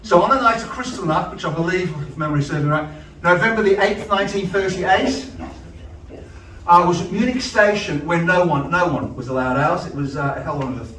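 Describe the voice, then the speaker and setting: A man with a loud voice leading a choir, telling a story to a live audience in a chatty way. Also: sits high, fairly quick, a little hiss or hum. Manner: chatty, storytelling, asides to the crowd. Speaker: choir director. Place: large hall. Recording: echoey hall from a distance.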